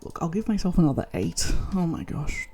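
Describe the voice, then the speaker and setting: A young Australian woman from Tasmania, chatting casually and thinking aloud as she rates her own writing, self-deprecating and quick to laugh at herself. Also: fairly low pitch, lively intonation, mellow, slightly rough. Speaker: vlogger. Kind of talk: casual self-rating chat.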